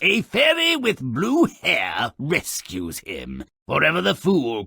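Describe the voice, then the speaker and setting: An actor playing a sardonic, scornful gnomish fairy tale narrator in a video game, with a raspy voice and wry theatrical delivery. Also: male voice, medium pitch, bright, forceful tone, no room sound, studio quality, exaggerated voice. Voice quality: gravelly, raspy.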